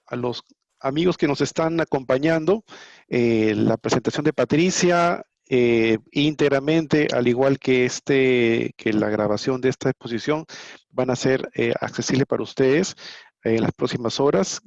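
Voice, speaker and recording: A man moderates a webinar, thanking speakers and introducing the next one in a courteous, halting way. The video call audio is dull and dry. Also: mid-range voice, a little mellow, dry recording.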